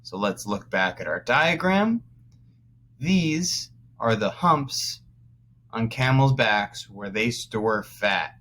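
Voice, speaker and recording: A man teaching young children through a read-aloud, pausing to explain words as he goes. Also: mid-range voice, lively intonation, pushed and bright. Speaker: first-grade teacher.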